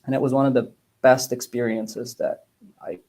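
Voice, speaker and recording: A man in his thirties giving measured, casual interview answers with hesitant pauses. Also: mid-range voice, level tone, dark tone. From Bosnia, grew up in Germany and the United States. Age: 30s. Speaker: guest, dentist.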